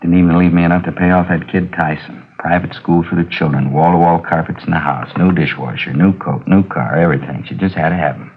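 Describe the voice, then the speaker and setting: Very deep-voiced, bitter cornered husband. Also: male voice, fairly quick, few pauses, breathy edge. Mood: bitter, resigned. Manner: defensive, complaining. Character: bitter, cornered middle-aged husband caught planning a robbery. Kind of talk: 1950s radio crime drama acting. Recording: dull, noisy 1950s radio recording.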